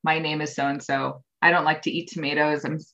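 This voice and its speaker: A woman in her thirties talks as an interview guest, with a low voice. She rambles through her answers with frequent ums and false starts. The audio is dull and lo-fi. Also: little pitch movement, fairly quick, pushed and bright. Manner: rambling, with many ums and restarts. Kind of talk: casual interview conversation.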